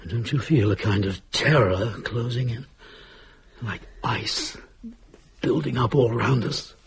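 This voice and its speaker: A man's voice: mid-range voice, slow, vocal fry, background noise. An actor playing an anxious, brooding, guilt-ridden British man in a radio drama, earnest and fretful. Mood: dread.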